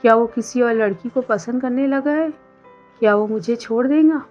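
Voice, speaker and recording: A woman tells a scripted romantic drama story. Her voice sits a little high. The recording is slightly dull and lo-fi, with soft plucked string music behind her. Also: normal speed. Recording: dull lo-fi sound under music.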